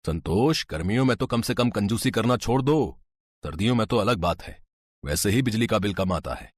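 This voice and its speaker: A man's voice: lowish voice, normal speed, no echo, clean studio sound. A man voicing an easygoing older father-in-law in a cartoon story. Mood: coaxing. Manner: relaxed, indulgent.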